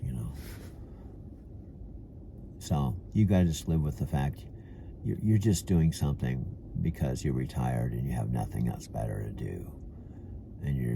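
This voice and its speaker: A man's voice: extremely low pitch, gravelly, warm tone, a little hiss or hum. An older man with a Californian accent, rambling to himself from a parked car and calling himself "dude" with many restarts. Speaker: vlogger. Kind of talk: rambling self-talk monologue.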